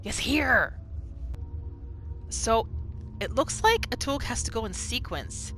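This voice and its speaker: A woman hosting a gaming video, giving casual playthrough commentary in an expressive, exclamatory way. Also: a little high, takes it slowly. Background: game music.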